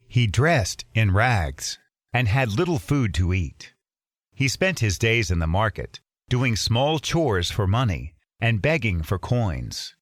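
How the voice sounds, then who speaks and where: Slow, very animated male storybook narrator. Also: fairly low pitch, no echo, studio quality. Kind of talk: scripted children's fairytale narration.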